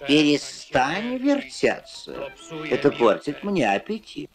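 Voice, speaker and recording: A man's voice: a little high, huge pitch swings, takes it slowly, exaggerated voice. A man voicing a stern, grumbling but grudgingly helpful adult stork in a dubbed puppet cartoon. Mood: annoyed. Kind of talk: dubbed puppet animation dialogue. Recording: old film soundtrack with loud music.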